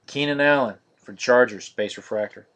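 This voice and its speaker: A man hosting a live-streamed card break, naming each card in a steady, monotonous voice. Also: mid-range voice, brisk. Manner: monotonous, steady listing of cards.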